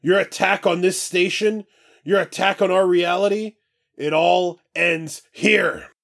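A man voicing a determined woman fighter who speaks forcefully and plainly. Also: medium pitch, very animated, takes it slowly, gravelly, voice pushed up, heavily acted. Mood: commanding. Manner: forceful, declaring.

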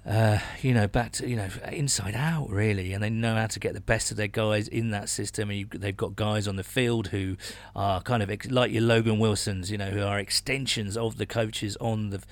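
A man's voice: fairly low pitch, steady tone, moderate pace, dry recording. A British man co-hosting a sports podcast in a casual, enthusiastic, blokey way with emphatic phrasing. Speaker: podcast host.

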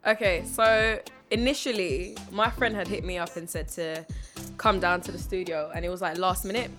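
A young woman in her twenties, a singer, talking easily about her music on the radio. Her voice sits a little low, and she is quick to laugh. Music plays clearly behind her. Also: steady tone, a bit bright. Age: 20s. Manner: giggly, easygoing. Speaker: singer, interview guest. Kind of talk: casual interview chat. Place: radio studio.

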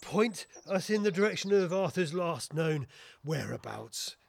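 A man's voice: a little high, lively intonation, measured pace, gravelly, no echo, heavily acted. A man playing an exasperated, self-important Victorian police inspector who stammers and gets indignant in an audio comedy. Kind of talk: scripted audio comedy acting.

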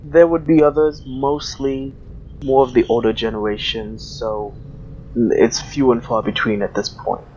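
A man's voice: mid-range voice, level tone, measured pace, a little mellow, lightly acted. Man playing a solemn keeper of old ways. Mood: solemn, guarded. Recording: dull, noisy hobby microphone.

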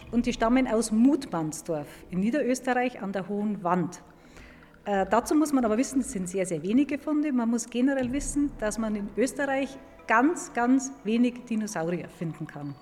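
A woman's voice: fairly high pitch, a little mellow. Woman explaining science in an echoing hall. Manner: clear, didactic, emphatic repetition. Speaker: museum paleontologist. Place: large echoing indoor museum hall. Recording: reverberant hall with background noise.

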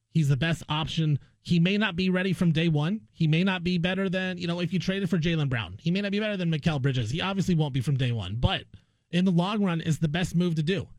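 A man's voice: on the high side, no room sound. A man hosting a sports talk show, giving opinionated, emphatic commentary and often posing rhetorical questions.

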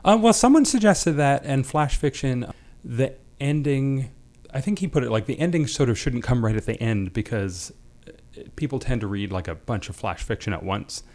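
A man's voice: mid-range voice, expressive, moderate pace, darkish tone. A man speaking as a guest writer, discussing and reading short fiction. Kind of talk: panel chat and reading own short fiction.

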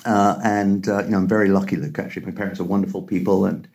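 A middle-aged man with a British English accent, a biotech CEO speaking in a measured, articulate way with frequent pauses in a podcast interview. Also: deep voice, flat delivery, creaky, darkish tone. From Tanzania.

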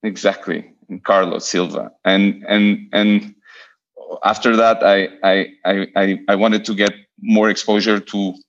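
Man from Portugal, very low voice, monotone, halting restarts, dull muffled audio. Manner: hesitant, many repeated starts. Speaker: guest, venture investor. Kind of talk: podcast interview.

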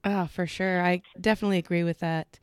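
Clear-voiced woman interviewer, dry sound. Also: lowish voice, steady tone, fast, no echo. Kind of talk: conversational interview questions.

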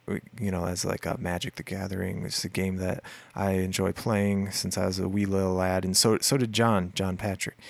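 A man with a deep voice, a musician guest in a casual interview, telling a rambling story full of fillers and restarts. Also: vocal fry, no room sound.

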